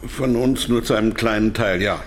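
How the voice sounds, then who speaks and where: An actor playing a captive businessman in a radio drama, articulate and argumentative, halting under strain, defensive and bitter. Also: fairly low pitch, close to an everyday voice. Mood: defensive, indignant.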